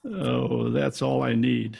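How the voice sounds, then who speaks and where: A man's voice: mid-range voice, fairly even intonation, slow pace, creaky, a little mellow, breathy edge, some chatter behind, heavily acted. A man playing a tough, sardonic private detective in old-time radio drama style, recorded at home over a video call. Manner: hard-boiled, sardonic. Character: tough, wisecracking male private detective, impatient with sentiment.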